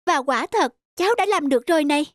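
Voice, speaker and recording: A woman's voice: sits high, lively intonation, fast. A woman voicing Liên, a brave young girl recovering in hospital, speaking sincerely and gratefully.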